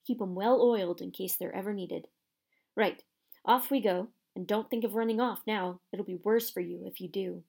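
A woman's voice: mid-range voice, moderate pace, gravelly, darkish tone, slightly rough. A woman voicing a spiteful male caretaker in an audiobook, leering and sneering as he relishes punishment. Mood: gloating. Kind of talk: audiobook character voice.

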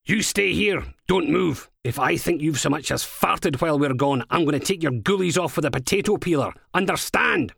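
Guy doing a mouthy scottish lady inspector for an audiobook, pitched up, sarky, bossy, impatient. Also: animated, normal speed, gravelly, few pauses, a bit bright, breathy edge, no room sound, studio quality, exaggerated voice. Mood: bossy, threatening. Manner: brash, impatient, mocking. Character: bossy, sarcastic, foul-mouthed female police inspector.

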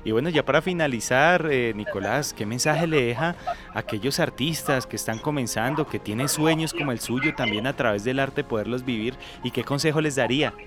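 A man's voice: mid-range voice, brisk, over music. A man hosting an interview, asking questions, giving affirming replies and closing with a formal sign-off. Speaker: interviewer, web show host.

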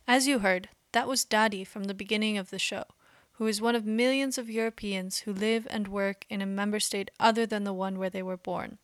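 A woman hosting a podcast, giving measured, explanatory scripted narration. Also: medium pitch, fairly even intonation, no echo, clean studio sound. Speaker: podcast host.

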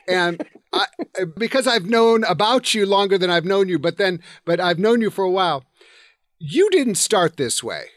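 A man's voice: high-pitched, expressive, normal speed, dry recording, studio quality. A man hosting a podcast interview, earnest and enthusiastic, often stumbling and repeating words as he talks. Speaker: podcast host. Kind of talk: conversational interview.

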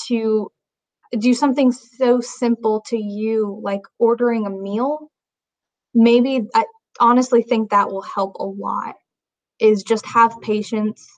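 Chatty young woman, slightly high voice. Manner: rambling, full of "like" and restarts. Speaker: guest, sign language teacher. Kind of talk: casual conversation. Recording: dull lo-fi audio.

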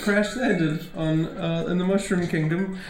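A man acts out a bumbling, self-deprecating male servant in a comic radio play. His voice is on the high side and he rambles hesitantly, heard in an echoey room with faint music. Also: fairly even intonation, unhurried. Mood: hesitant explaining. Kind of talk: comedic improvised radio play.

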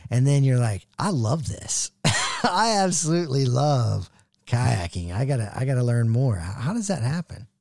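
A man's voice: medium pitch, very animated, normal speed, creaky, darkish tone, dry recording, studio quality. A man with an American accent hosting a casual interview in a laid-back, slangy, enthusiastic way. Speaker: interviewer, host.

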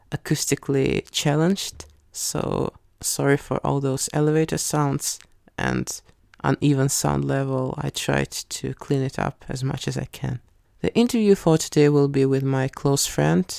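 A man hosting a podcast interview, speaking with careful, slightly halting phrasing. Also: mid-range voice, gravelly, darkish tone, no room sound, clean studio sound. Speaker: podcast host. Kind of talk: casual interview.

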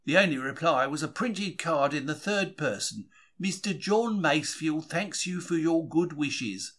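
A man's voice: fairly high pitch, lively intonation, a little slow, a bit bright. A man reading a diary as a quaint, chatty, plain-spoken 1940s Cockney bookseller. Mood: reflective, chatty. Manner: colloquial, self-regarding, plain-spoken, exclamatory. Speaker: reader of a diary. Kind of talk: dramatised first-person diary reading.

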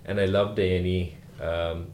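A man from Sri Lanka, a rural GP, answering podcast interview questions in an unhurried way with many "uh" fillers. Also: low voice, little pitch movement, a little mellow, echoey room, slight background noise. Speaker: guest, rural GP.

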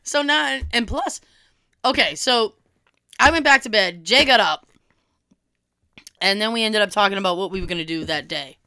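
Young woman, cold-hoarse, bright crisp voice, dry close sound, animated storyteller. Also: mid-range voice, moderate pace, pauses often, no echo. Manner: animated, swearing, acts out quoted dialogue. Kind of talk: casual storytelling chat.

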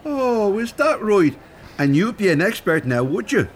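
Cheeky older Irishman, very animated. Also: high voice, a little slow. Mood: needling. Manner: colloquial, teasing, swears mildly. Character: chatty, cheeky older Dublin chancer, male. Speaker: actor in an audio drama. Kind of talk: radio drama dialogue.

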